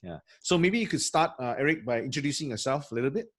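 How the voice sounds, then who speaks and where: A man's voice: medium pitch, lively intonation, fast talker, slightly rough. Friendly male podcast host. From Malaysia. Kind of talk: podcast interview over video call. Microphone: video call.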